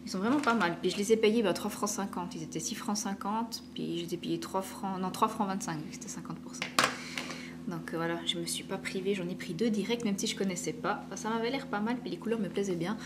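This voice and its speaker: A chatty woman with a Swiss French accent talks through her beauty haul at an even middle pitch, leaving in plenty of fillers and slipping into soft whispers now and then. A clear hiss and hum sit behind her voice in a home recording. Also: quick pace. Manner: chatty, many fillers, drops to whispers while swatching. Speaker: beauty vlogger. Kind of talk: casual haul chat.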